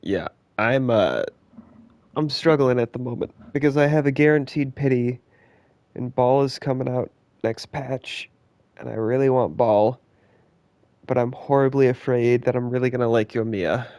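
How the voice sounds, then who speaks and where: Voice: medium pitch, moderate pace, a little mellow, dry recording. A video game streamer giving casual, sweary live commentary in muttered reactions.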